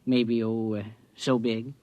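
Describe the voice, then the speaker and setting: An actor playing a meek, pious, unstable neighbour in a 1950s radio drama, speaking hesitantly with formal, apologetic manners. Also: male voice, mid-range voice, level tone, slow, darkish tone, dry recording, close to an everyday voice. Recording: muffled vintage radio broadcast recording.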